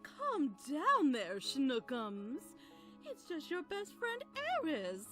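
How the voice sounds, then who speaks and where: A woman's voice: high voice, wildly swooping pitch, takes it slowly, a bit bright, faint music behind, exaggerated voice. A woman voicing a mischievous, flamboyant cartoon trickster creature with a teasing, theatrical drawl.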